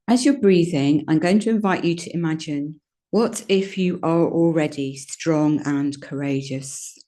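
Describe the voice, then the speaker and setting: A woman leading a guided meditation in a soothing, gentle manner, giving unhurried instructions with pauses. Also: low voice. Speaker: yoga nidra meditation guide.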